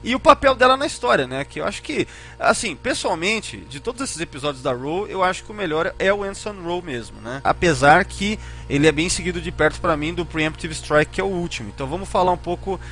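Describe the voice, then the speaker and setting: A man's voice: high-pitched, animated, brisk, fairly bright tone. A man with a Brazilian Portuguese accent hosting a casual fan podcast, informal and often hesitant, checking for agreement with tag questions. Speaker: podcast host.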